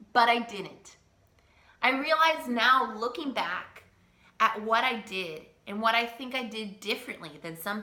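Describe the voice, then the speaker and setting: Woman, language coach, bright tense voice, fairly high, echoey room, emphatic. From United States. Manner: earnest, emphatic, repeats key points. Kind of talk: direct-to-camera teaching talk.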